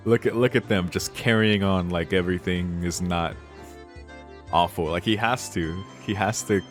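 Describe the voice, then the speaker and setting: A man's voice: low voice, steady tone, normal speed, soft background music. A man giving casual, foul-mouthed, emotionally invested commentary on a visual novel as he plays it and reads it aloud. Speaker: streamer playing and reading a visual novel. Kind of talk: casual let's play commentary mixed with reading game text aloud.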